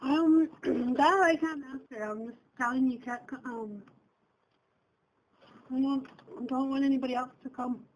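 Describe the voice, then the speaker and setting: A woman's voice: high-pitched, moderate pace, a little hiss or hum. A woman answering a phone call as a receptionist, giving short, blunt answers. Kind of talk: phone conversation. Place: on the other end of a phone call.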